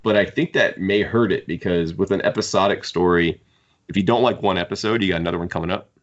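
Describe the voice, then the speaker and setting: A man hosting a podcast, casually discussing books in a halting, opinionated fan's way. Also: low voice. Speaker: podcast host. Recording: dull lo-fi podcast audio.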